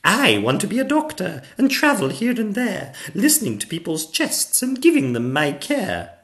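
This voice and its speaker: A kind and plucky little girl princess from a storybook, played by a man. The voice is pitched very high and lilts in an emphatic sing-song, swinging widely in pitch. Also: huge pitch swings, normal speed, a bit bright, full character voice. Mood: indignant, determined.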